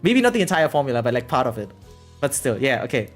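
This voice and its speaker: A streamer with a medium-pitched voice giving casual reaction commentary, reading aloud and chatting in an animated, exclamatory way, often checking in with "right?". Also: fast. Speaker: variety streamer. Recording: music behind the voice. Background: music.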